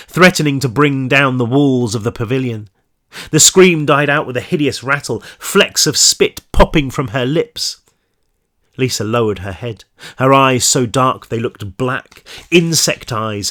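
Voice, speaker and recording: A man with a stuffy, congested nose reading his own horror novel aloud slowly and deliberately, then chatting with fillers between passages. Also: mid-range voice, fairly bright tone. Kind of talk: dramatic horror book reading.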